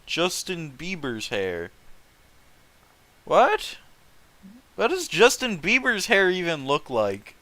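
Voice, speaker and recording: Bright-voiced man, foul-mouthed read-aloud commentator. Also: a little high, wildly swooping pitch, slow pace, pauses often. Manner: foul-mouthed, incredulous, breaks off to react. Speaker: host reading fan fiction aloud and commenting.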